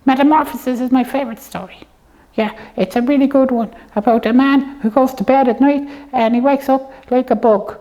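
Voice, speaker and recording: A woman's voice: high-pitched, steady tone, brisk, mellow, low-quality recording. A woman presenting a puppet show in casual comic chat, explaining things in a rambling, hesitant way.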